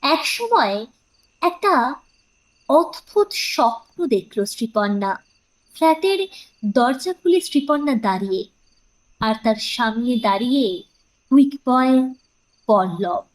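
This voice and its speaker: Female narrator for a suspense audio story, high-pitched, very lively intonation, scripted delivery. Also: slow pace, pauses often, low-quality recording.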